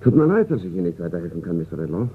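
An actor playing a reserved, courteous businessman and collector in a radio crime play, speaking in a measured way. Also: low-pitched, very animated, slightly rough, heavily acted. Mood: guarded. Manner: measured, polite. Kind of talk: German radio drama acting. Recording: tinny, noisy radio recording.

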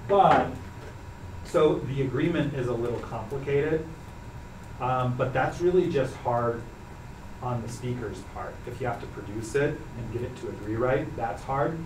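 A young man teaching a language class, explaining patiently with relaxed fillers. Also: medium pitch, unhurried. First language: English. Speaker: Hebrew teacher. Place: carpeted classroom, students seated around. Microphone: far camera. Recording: echoey room from far off, noisy.

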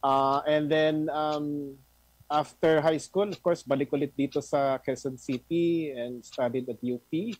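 A man's voice: on the high side, steady tone, unhurried, dry recording, poor audio. A man in his fifties from Northern Luzon, answering an interview casually on a video call, with many fillers. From Northern Luzon, Philippines. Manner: conversational, mixing languages, many fillers. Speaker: senate candidate guest. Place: home room, indoors.

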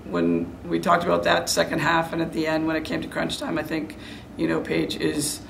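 Middle-aged female coach, postgame interview: heavy vocal fry, even delivery, frank and serious. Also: deep voice, normal speed, slight background noise, poor audio. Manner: frank, self-critical, serious. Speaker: head basketball coach. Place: indoor room before a press backdrop.

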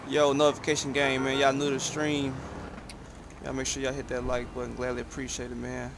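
A man's voice: medium pitch, monotone, a little fast, noisy background. A man chatting casually on a gameplay livestream in slangy, profane language. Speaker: game streamer. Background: game ambience, vehicle sounds.

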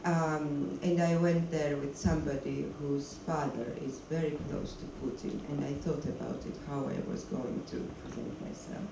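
Gravelly-voiced woman in an echoey hall. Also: sits low, steady tone, normal speed, few pauses. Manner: searching for words, non-native English. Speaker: documentary filmmaker, guest. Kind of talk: stage Q&A interview. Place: stage of a screening hall, seated. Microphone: handheld mic. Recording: echoey hall, noisy, mic from a distance.

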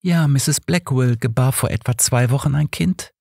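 A man's voice: mid-range voice, moderate pace, gravelly, dark tone, no room sound, clean studio sound, pitched above the performer's own voice. A man voicing a guarded, matter-of-fact young workhouse girl with a faint defiance, in an audiobook story. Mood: guarded, defiant. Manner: matter-of-fact, resigned, deferential in wording.

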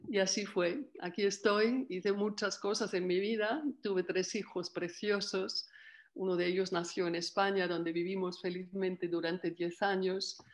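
An older woman, French by first language, speaks as a literary translator over a laptop webcam mic from a room at home. Her delivery is calm and reflective, laying out her process one step at a time. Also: mid-range voice, unhurried. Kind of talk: video call interview. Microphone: laptop webcam mic on video call.

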